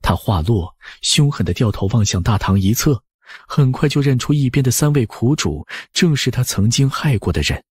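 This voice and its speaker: A man with a husky voice reading a dramatized scripted story as an audiobook narrator. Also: mid-range voice, lively intonation, moderate pace, darkish tone, dry recording, clean studio sound.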